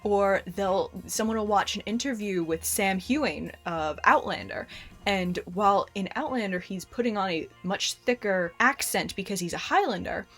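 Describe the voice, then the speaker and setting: A young adult woman with an American accent, talking casually to camera as a host in a chatty, animated way with frequent restarts. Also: mid-range voice, normal speed, soft background music. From United States. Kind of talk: casual explanatory talk to camera.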